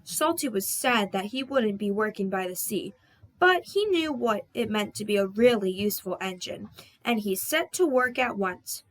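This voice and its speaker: A woman's voice: medium pitch, expressive, moderate pace, rough recording. A woman narrating a scripted children's story as a read-aloud. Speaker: storybook reader.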